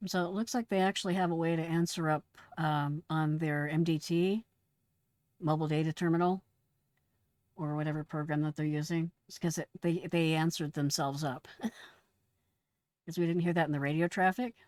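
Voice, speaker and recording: Middle aged lady, lowish voice, casually explaining radio calls, real dry audio. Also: fairly even intonation, normal speed, a little mellow, dry recording. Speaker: host, retired emergency dispatcher.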